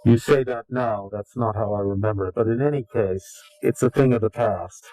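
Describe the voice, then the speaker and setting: A man answering interview questions combatively, in a formal, deliberate and contemptuous way. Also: lowish voice, warm tone, faint music behind, rough recording. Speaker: interviewed anonymous informant.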